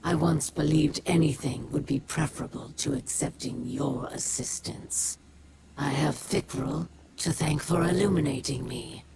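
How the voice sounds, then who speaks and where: Proud, low-voiced alien warrior. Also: female voice, creaky. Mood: grudging resolve. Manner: measured, formal, deliberate, with whispered edges. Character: proud, bitter imprisoned female alien warrior. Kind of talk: dramatic video game dialogue. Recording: loud ambient noise behind.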